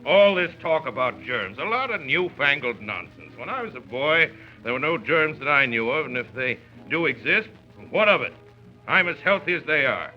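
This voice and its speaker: A man's voice: medium pitch, very animated, normal speed, soft background music, lightly acted. Man playing a pompous, blustering father, old radio. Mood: blustering, defiant. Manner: pompous, indignant, emphatic. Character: blustering, easily offended middle-aged father, stubborn household tyrant. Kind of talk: 1930s radio acting. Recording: dull 1930s radio recording.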